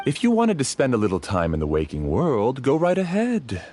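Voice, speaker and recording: A man's voice: medium pitch, wildly swooping pitch, moderate pace, a little mellow. A man voicing a scornful, theatrical video game villain who talks in a condescending, grandiose way. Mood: smug, disdainful. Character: scornful, theatrical male villain, obsessive master of a dream.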